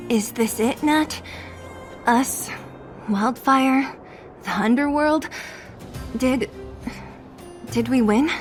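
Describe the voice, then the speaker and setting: A high, unhurried young woman's voice for a game character, a bold and brash fighter. Faint music runs behind her. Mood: uncertain. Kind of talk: video game cutscene acting.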